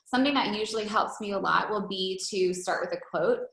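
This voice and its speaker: A woman's voice: mid-range voice, fairly quick, creaky, a bit bright. A woman leading an informal team training call as a coach, talking in a wordy, conversational way with frequent check-in questions. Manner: wordy, conversational, peppered with rhetorical check-ins. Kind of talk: informal, chatty coaching presentation. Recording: roomy, echoey call recording.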